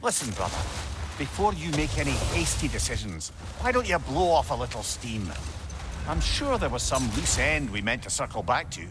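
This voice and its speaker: An actor playing a witty, avuncular old sage in a video game, speaking with a Scottish accent in a wry, counselling, conversational way. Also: male voice, mid-range voice, big pitch swings, normal speed, noisy background, close to an everyday voice. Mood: easygoing counsel. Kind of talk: video game dramatic acting.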